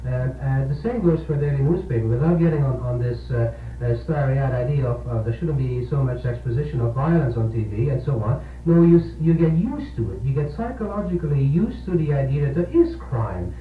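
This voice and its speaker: A male priest with a mid-pitched voice argues his views earnestly on local radio, halting and repeating words. The old 1970s recording is narrow and sounds far from the mic, with a faint hum. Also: expressive. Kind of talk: opinionated local radio discussion. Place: radio program, distant-sounding and echoey. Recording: tinny, echoey 1970s radio aircheck, humming noise.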